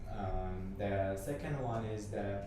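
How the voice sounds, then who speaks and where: Man from Tajikistan, halting English. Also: lowish voice, far from the mic, noisy background. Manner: halting second-language speech with many fillers. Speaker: guest. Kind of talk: casual interview chat. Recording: echoey room, off-mic.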